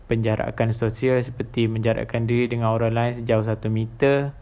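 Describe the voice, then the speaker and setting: A man's voice: mid-range voice, flat delivery, fairly quick, dark tone, muffled recording, lightly acted. A young man with a Malaysian accent playing a curious, chatty housemate in an amateur animation. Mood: explaining. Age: young adult. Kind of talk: scripted amateur animation dialogue.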